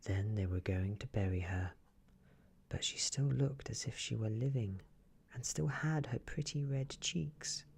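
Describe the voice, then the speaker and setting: A man reading a fairy tale as soft-spoken ASMR, gentle and hushed, often slipping into a whisper. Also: medium pitch, unhurried, gravelly, husky. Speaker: ASMR storyteller.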